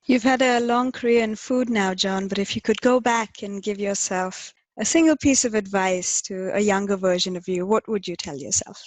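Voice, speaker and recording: A woman's voice: medium pitch, moderate pace, few pauses. A woman co-hosting a podcast, speaking conversationally as an interviewer.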